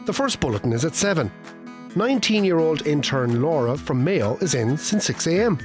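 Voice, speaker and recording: An actor playing a wry, self-assured former radio boss with an Irish accent, narrating a comic radio play. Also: male voice, on the high side, big pitch swings, moderate pace, soft background music. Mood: wry storytelling.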